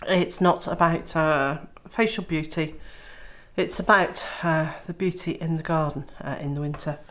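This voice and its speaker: A woman's voice: deep voice, fairly even intonation, a little slow. A woman vlogging casually about her homestead while she has a cold, sounding nasal and throaty, with lots of filler sounds. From Wales. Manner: chatty, many uh fillers. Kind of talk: casual vlog to camera.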